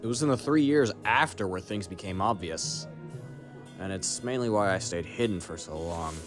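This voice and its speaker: Casting: battle-worn male syndicate agent man acting, lowish register, dry and guarded, audio drama, music bed. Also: normal speed, lightly acted. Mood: grave, resolute.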